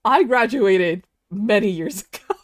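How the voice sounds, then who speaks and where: A woman's voice: mid-range voice, expressive, a little slow, no room sound. A woman in her twenties chatting casually while drawing on a stream, rambling, laughing often and saying "dude" and "like". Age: 20s.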